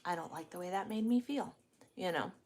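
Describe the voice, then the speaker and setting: A woman in her forties hosts a casual livestream, replying to comments as they come in. She talks in a candid, rambling way, like someone thinking out loud with regular viewers. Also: on the low side, a little fast. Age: 40s. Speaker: livestream host, truck driver. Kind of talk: casual live chat answering viewer comments.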